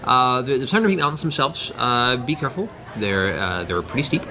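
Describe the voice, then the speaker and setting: A man voicing a friendly, flustered male amateur cartographer in a tabletop game, halting and correcting himself as he rambles. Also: medium pitch, lively intonation, pushed and bright. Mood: flustered, helpful.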